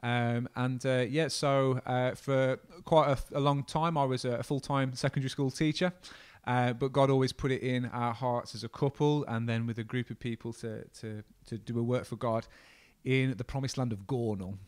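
A man in his thirties with a mid-range voice hosts a chat as a pastor. He talks casually and chimes in warmly, holding a mic in a small room. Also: mid-range voice, steady tone, moderate pace, few pauses, clear, resonant tone. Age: 30s. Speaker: pastor, host. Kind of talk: casual conversation. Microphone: handheld mic.

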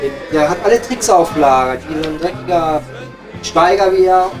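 Man acting a cheeky coal miner, Ruhr accent, lightly high pitch, somewhat distant mic, rambling pub banter, comedy audio play. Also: normal speed, close to an everyday voice. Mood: grumbling. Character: cheeky, girl-chasing greying male coal miner in a pub. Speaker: comedy performer. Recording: distant from the microphone. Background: music.

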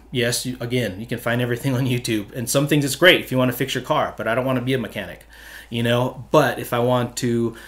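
Middle-aged guy from hawaii, photographer chatting earnestly into a desk mic, lots of restarts, small studio. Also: mid-range voice. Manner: earnest, talks with his hands, many restarts. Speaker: photographer guest. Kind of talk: casual interview answers. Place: small home studio room. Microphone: desk mic on stand.

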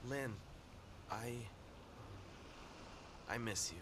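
An actor playing a timid, frightened gang mechanic in a video game, nervous and hesitant. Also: male voice, medium pitch, drawn-out words, noisy background. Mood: nervous, scared. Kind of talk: video game cutscene acting.

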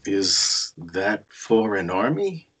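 An actor playing a reflective, awkward nephew narrating his past in a measured, husky way at a screenplay table read. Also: lowish voice, very animated, a bit bright, full character voice, low-quality recording. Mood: bemused.

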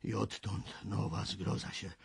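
An old castle servant in a radio play, telling a dark tale. His voice is very husky and full of creak, and he speaks slowly and haltingly, with a haunted, folksy manner. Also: sits low, flat delivery, heavily acted. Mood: grave, troubled. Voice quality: raspy, creaky. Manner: halting, folksy storytelling, dramatic. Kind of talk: radio drama acting.

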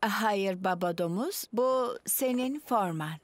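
A woman voicing a kind, patient mother pig in a children's cartoon, with a gentle manner. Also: medium pitch, slow, no echo, lightly acted. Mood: worried.

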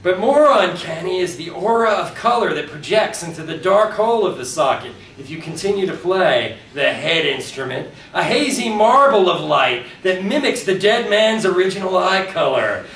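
A man reads his short horror fiction aloud at a live event in a theatrical, deliberate storytelling manner with wry humour. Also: fairly high pitch, fairly bright tone. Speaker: author reading his own fiction. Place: live reading at a convention, indoors. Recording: roomy, distant live recording with background noise.

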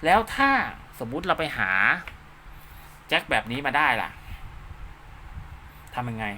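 A man's voice: medium pitch, animated, a little slow, pushed and bright, slight background noise. A man giving an informal hands-on tutorial step by step, often checking that the listener follows. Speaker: instructor, channel host.

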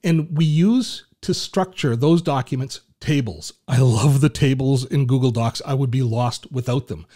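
A Canadian man in his fifties hosting a screen-share software tutorial from his home office, chatty and enthusiastic with self-deprecating asides. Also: medium pitch, unhurried, few pauses. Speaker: tech channel host. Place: home office with shelves and artwork. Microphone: large studio mic on a boom arm.

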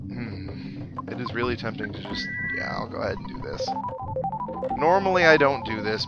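A man hosts a let's play with casual, rambling commentary, thinking aloud as he goes. His mid-pitched voice moves up and down in an animated way. Video game music plays clearly behind him. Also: lo-fi sound.

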